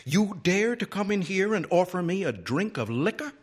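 A man's voice: high-pitched, very animated, unhurried, fairly bright tone, voice pushed up, full character voice. A man voicing a prim, old-fashioned, teetotal woman librarian in a story, prissy and moralizing. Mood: outraged.

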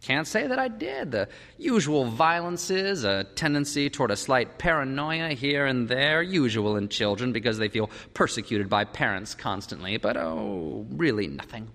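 A man voicing an easygoing, worldly male psychologist in a story, with a breezy, drawn-out, clinical manner. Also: medium pitch, very animated, creaky, a bit bright, big-room sound, voice pushed up.

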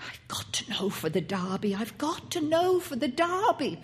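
Woman doing overwrought little boy in an audiobook, polite, pleading, keeps insisting, slightly high voice. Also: animated, measured pace, a little mellow. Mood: desperate. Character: overwrought, obsessive, secretive young English boy.

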